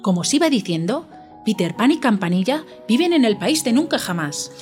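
A woman's voice: on the high side, very animated, brisk, a little mellow, soft background music. A woman playing a sweet, hopeful young girl who tells stories, in a children's audio drama. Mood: storytelling.

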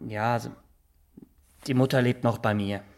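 A man's voice: mid-range voice, fairly even intonation, darkish tone, natural voice. Guy acting a swiss barber in a radio drama, nervous rambler, stumbles over words, keeps justifying himself and bragging. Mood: defensive, smug. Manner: rambling, halting, repeats himself, keeps adding tag questions. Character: small-town barber, nervous, self-justifying, boastful executioner applicant.

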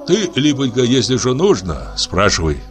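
A man voicing a soft-hearted old grandfather who speaks gently and slowly. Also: medium pitch, sing-song, over music, pitched above the performer's own voice, lightly acted. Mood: tender. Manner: gentle, tender, slow.

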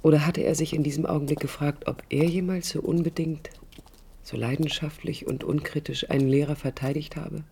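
A woman's voice: sits low, steady tone, fairly quick, gravelly, dark tone, slightly rough, exaggerated voice. An actress playing a young, idealistic woman architect who recalls her life in an intimate, literary radio play monologue, reflective and critical. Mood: reflective, wry. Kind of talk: 1980s radio play inner-monologue narration.

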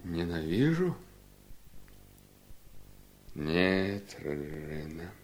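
Deep-voiced embittered sick husband. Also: male voice, very animated, extremely slow, heavily acted. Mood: wounded, bitter. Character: gravely ill, embittered, stubborn husband. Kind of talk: radio drama acting, 1970s.

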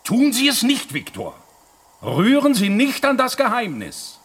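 An actor playing a cautious, God-fearing older professor in a radio drama, with a husky voice and a grave, admonishing manner. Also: male voice, extremely high pitch, huge pitch swings, a little slow, fairly bright tone, a little hiss or hum, full character voice. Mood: grave warning. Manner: grave, admonishing, swelling and dropping. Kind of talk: German radio drama acting.

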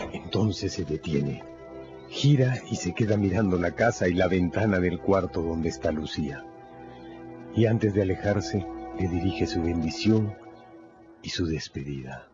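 A man narrating a scripted radio drama in a measured, grave storytelling manner. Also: sits low, animated. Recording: dull radio recording with loud music. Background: loud music.

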